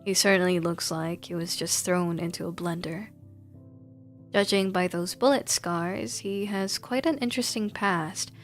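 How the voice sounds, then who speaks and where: A woman's voice: fairly low pitch, a little slow. Playful woman streamer over background music. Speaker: streamer playing and reading aloud a horror game. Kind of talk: casual livestream chat mixed with reading game text aloud.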